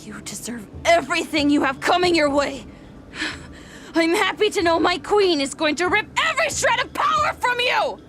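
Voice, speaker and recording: A woman's voice: very high-pitched, big pitch swings, normal speed, fairly bright tone, slight background noise, full character voice. A woman playing a defiant, noble young boy samurai god, earnest and dramatic, in an audio drama. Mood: pained, bitter.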